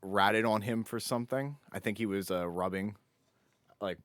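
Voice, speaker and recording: A man's voice: fairly low pitch, moderate pace, a bit bright, no room sound, clean studio sound. A man co-hosting a podcast, telling anecdotes in a jokey, informal way with some swearing. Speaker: podcast co-host. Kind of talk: casual chat, telling anecdotes.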